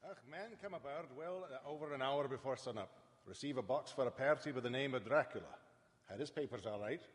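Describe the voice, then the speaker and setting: An actor playing a gruff, plain-spoken foreign sea captain in a live radio horror drama. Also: male voice, medium pitch, a little fast, a little hiss or hum, lightly acted. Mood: matter-of-fact. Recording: distant in a reverberant hall.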